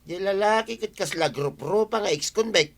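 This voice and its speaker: A man's voice: high-pitched, moderate pace. A man acting a suspicious, stingy villager who grumbles and complains, with an Ilocano accent, in a comedy radio drama. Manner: complaining, distrustful.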